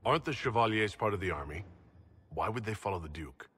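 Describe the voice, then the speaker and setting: An actor playing a blunt, inquisitive leader of a fantasy army, direct and questioning. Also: male voice, lowish voice, animated, fast talker, bright, forceful tone, faint music behind. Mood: probing. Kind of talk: dramatic game cutscene dialogue.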